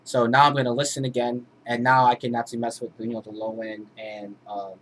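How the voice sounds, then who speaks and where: A man's voice: mid-range voice, little pitch movement, brisk, fairly bright tone. A man giving a casual, informal tutorial as a music producer, explaining things step by step. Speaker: music producer teaching vocal mixing.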